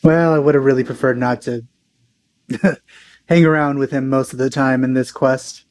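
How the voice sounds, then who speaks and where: A man's voice: medium pitch, fairly even intonation, moderate pace, darkish tone. A man giving casual, offhand, hesitant gameplay commentary as a let's play host, with game music behind.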